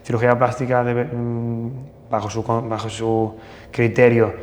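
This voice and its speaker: A man's voice: medium pitch, measured pace, a little hiss or hum. Man telling stories, hesitant. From northern Portugal. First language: Portuguese. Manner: hesitant, many restarts and fillers, animated anecdotes. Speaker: plastic surgeon guest. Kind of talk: casual interview storytelling. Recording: long hall reverb.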